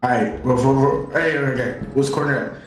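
A man's voice: mid-range voice, normal speed. A man chatting casually during a multiplayer game, often repeating his words and phrases. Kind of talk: casual multiplayer gaming chat. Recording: roomy echo, faint music behind.

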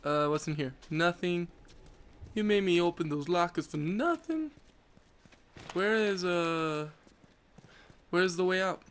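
A man's voice: high voice, expressive, slow pace, pauses often, fairly bright tone, clean tone. Congested young man rambling over gameplay. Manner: rambling, jokey, self-mocking. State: congested from allergies. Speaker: let's play host. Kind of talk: casual gameplay commentary.

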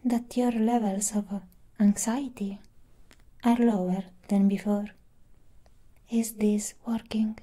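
A young woman with a thick foreign accent voicing a calm, caring, quietly controlling android doll in soft, breathy, whispered, measured ASMR roleplay. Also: mid-range voice. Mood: soothing, clinical. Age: young adult. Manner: slow, measured, hypnotic instructions. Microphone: close ASMR microphone.

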